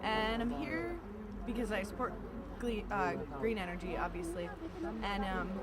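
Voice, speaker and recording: A woman from New Hampshire speaking eagerly, with a slip or two, at an outdoor march. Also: medium pitch. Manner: eager, stumbling a little. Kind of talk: on-the-street statement. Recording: loud crowd outdoors.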